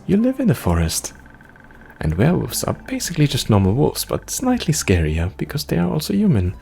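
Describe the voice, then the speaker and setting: A man's voice: mid-range voice, creaky, a little mellow. A man voicing an anxious, self-doubting young adventurer from the forest, stammering and rambling in a visual novel. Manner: nervous, hesitant stammering and rambling. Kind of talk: visual novel character read-aloud. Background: music.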